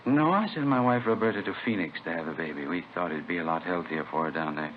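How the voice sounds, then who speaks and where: Noisy old-radio actor as a trusting, deep-voiced proud father. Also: fast, narrow, tinny audio, natural voice. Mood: proud, friendly. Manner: simple, friendly short phrases. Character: trusting, proud new father, humble and eager to help. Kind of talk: 1950s radio drama acting.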